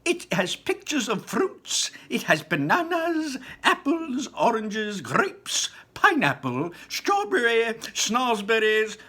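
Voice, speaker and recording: A man voicing an impatient, eccentric male candy maker, exclaiming and rushing through his lines in a storybook reading. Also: extremely high pitch, wildly swooping pitch, sharp tone, voice pushed up, heavily acted. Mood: excited. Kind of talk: storybook read-aloud.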